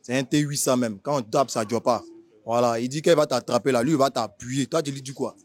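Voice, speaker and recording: A man's voice: medium pitch, quick pace. A man with an Ivorian accent conducting an informal street interview, slangy and prodding.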